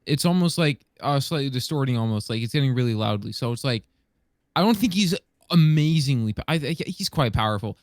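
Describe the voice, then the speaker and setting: A man's voice: medium pitch, lively intonation, a little fast, dry recording, clean studio sound. A man hosting a casual podcast discussion, speaking in an informal, rambling way. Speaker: podcast host.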